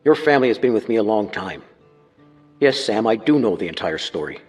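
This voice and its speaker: An actor playing a creepy, smug imprisoned killer who reminisces with sinister relish in a true-crime audio drama. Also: male voice, lowish voice, very animated, fairly quick, husky, long reverb tail. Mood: cryptic, knowing. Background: music.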